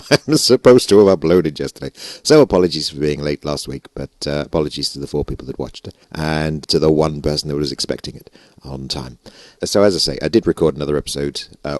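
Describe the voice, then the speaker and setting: British male gamer, hoarse and gravelly, very low, self-mocking rambler, dry sound. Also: dry recording. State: hoarse, gravelly voice after losing it. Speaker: gaming YouTuber. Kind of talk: casual let's-play commentary. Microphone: stage vocal mic close up.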